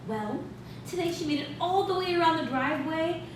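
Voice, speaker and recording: A woman's voice: very high-pitched, fairly quick. A woman playing a taunting, sarcastic estranged wife in a staged reading of a play. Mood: needling, chatty. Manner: needling, sarcastic. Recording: echoey, noisy stage recorded from far off.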